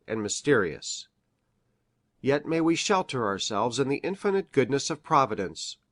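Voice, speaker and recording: An actor playing an earnest country doctor in a mystery drama, reading aloud in a formal, measured, grave way. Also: male voice, mid-range voice, fairly bright tone, no echo, studio quality.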